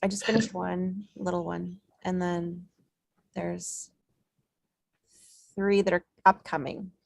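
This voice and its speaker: A woman talks casually in a mid-pitched voice with a lot of vocal fry. She rambles and corrects herself as she goes, in a dry, close sound. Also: flat delivery, slow pace, a little mellow, no room sound. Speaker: screenwriter. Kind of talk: casual chat.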